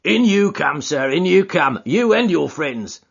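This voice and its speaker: A man voicing a gruff, stubborn burly porter and former boxer who talks bluntly with rough grammar. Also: a little high, sing-song, measured pace, pitched above the performer's own voice, full character voice. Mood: apologetic. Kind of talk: audiobook character voice. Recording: dull lo-fi audiobook recording.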